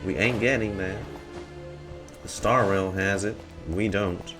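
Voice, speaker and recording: A man's voice: deep voice, steady tone, takes it slowly, music in the background. A man giving casual, rambling livestream commentary on a game, thinking aloud in trailing half-sentences. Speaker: game streamer.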